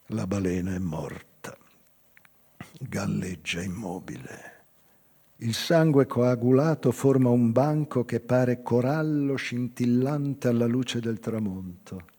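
Slow, husky man reading in a reverberant hall. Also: medium pitch. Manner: slow, measured, theatrical delivery with swelling and hushed phrases. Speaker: actor reading a literary text. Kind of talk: dramatic literary narration with live music. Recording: long hall reverb, faint music. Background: live music.

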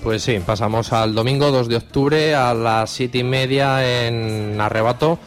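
A man from Spain with a fairly low voice co-hosts a radio show over a music bed. His Spanish accent comes with a casual, colloquial way of announcing concerts. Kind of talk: informal radio concert listings. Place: radio studio. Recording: music playing behind.

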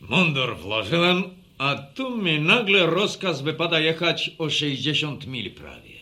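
An actor playing a boastful, wry ex-soldier villager with a taste for drink, telling tales in a mock-pompous drawl in a radio drama. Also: male voice, on the high side, huge pitch swings, a little slow, pushed and bright, heavily acted. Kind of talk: Polish radio drama acting.

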